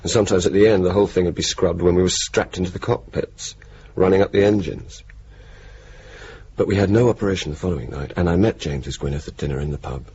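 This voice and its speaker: An actor playing a blunt, cynical young RAF officer with a dry, decisive manner in a radio drama. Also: male voice, low voice, fast, dark tone. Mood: weary, reflective. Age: young adult. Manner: dry, sardonic, sweary, decisive. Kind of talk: radio drama acting, dialogue and narration. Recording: muffled, noisy old radio broadcast recording.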